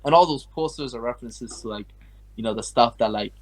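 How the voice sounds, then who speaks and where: Fast-talking young man, rambling guest. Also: medium pitch. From Afghanistan. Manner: rambling, heavy use of like and you know. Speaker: guest artist. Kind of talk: casual interview chat.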